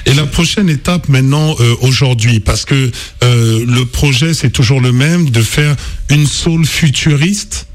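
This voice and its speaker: A man with a mid-range voice hosts a radio interview. He asks long, meandering questions and fills gaps with hesitations. His tone is conversational and unhurried. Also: mid-range voice. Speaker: radio host.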